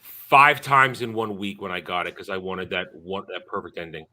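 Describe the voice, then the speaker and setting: A man's voice: low-pitched, normal speed, sharp tone, slight background noise. A man in a casual gaming chat, recalling games in a matter-of-fact way.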